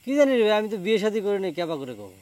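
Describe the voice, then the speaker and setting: A man's voice: high-pitched, big pitch swings, racing, a little mellow, no room sound. An actor playing a sheepish, evasive young villager in a comedy drama, protesting his innocence. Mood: defensive, sheepish. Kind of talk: Bengali TV comedy drama acting.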